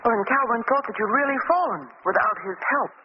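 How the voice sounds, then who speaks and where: An actress playing a refined, emotional young lady in a 1940s radio drama, earnest and expressive. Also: a little high, fairly quick, natural voice. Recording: muffled, noisy old radio recording.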